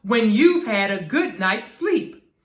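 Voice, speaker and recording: A woman's voice: a little high, big pitch swings, takes it slowly, echoey room. A woman voicing a motherly Moon in a children's story, firm and chiding but caring. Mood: soothing. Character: scolding, motherly Moon watching over the night sky.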